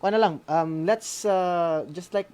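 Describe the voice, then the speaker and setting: Filipino man co-hosting, halting and filler-filled. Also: sits high, a little mellow, clear, resonant tone. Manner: halting, frequent filler words and tag questions. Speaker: radio school co-host. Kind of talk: casual hosting of an online class, code-switching.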